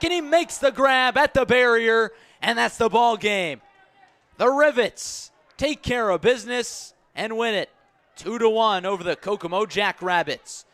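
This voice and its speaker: A man's voice: very high voice, bright, forceful tone, no room sound. A man calling baseball play-by-play for radio in clipped, punchy phrases, close on a broadcast mic. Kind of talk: live sports play-by-play commentary.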